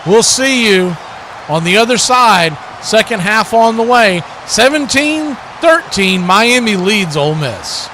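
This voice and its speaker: A man with a notably high voice delivers live American football play-by-play as the announcer. Clear background noise runs behind his commentary. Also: expressive, slow.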